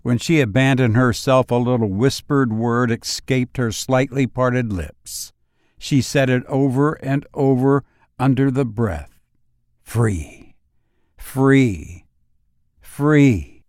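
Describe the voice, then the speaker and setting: Slow, measured male story narrator. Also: mid-range voice, fairly even intonation, a little mellow, no room sound, studio quality. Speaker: audiobook narrator. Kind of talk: scripted literary story narration.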